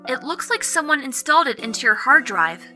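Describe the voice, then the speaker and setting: A woman playing a confident, teasing young hacker sidekick who explains things briskly in an audio drama. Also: high voice, sing-song, bright, forceful tone, soft background music, heavily acted. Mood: confident, explaining. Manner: brisk, explanatory, self-assured. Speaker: voice actor.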